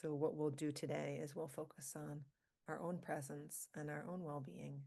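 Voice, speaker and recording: Low-voiced woman guiding a meditation. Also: creaky. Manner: calm, slow, pausing between short phrases. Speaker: meditation leader, physician. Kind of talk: guided meditation, live group session.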